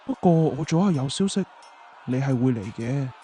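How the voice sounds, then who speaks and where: A man's voice: medium pitch, animated, moderate pace, warm tone, breathy edge. A man playing a smug, mocking villain king in a Chinese radio drama. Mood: gloating, mocking. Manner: mocking, theatrical.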